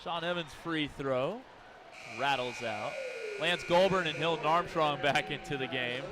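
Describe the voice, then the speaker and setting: A man calling live basketball play-by-play for radio in clipped descriptive phrases, quick to get excited. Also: on the high side, a crowd in the background. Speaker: play-by-play announcer. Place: courtside in an arena. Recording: noisy gym with bouncing balls. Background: basketball game arena noise.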